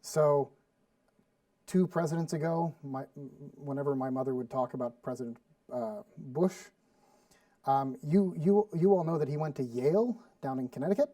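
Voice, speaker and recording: Man, cooking show host, rambly and casual, mellow tone, dry distant mic. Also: a little high, moderate pace, no room sound. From Sweden. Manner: chatty, rambling with many fillers and restarts. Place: studio kitchen.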